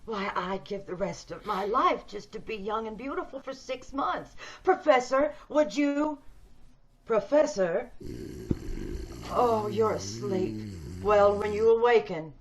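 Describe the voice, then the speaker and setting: A woman's voice: medium pitch, takes it slowly, slight background noise, lightly acted. A woman playing a homely, probing woman who yearns for beauty, questioning insistently in old-time radio drama style, over dull video-call audio. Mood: yearning, scheming.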